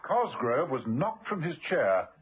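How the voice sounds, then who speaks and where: A man's voice: on the high side, very animated, measured pace, breathy edge, no echo, raised voice, phone-quality sound, full character voice. A man narrating a scripted suspense serial for radio as its storyteller. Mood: suspenseful. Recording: band-limited old radio recording with music. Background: music.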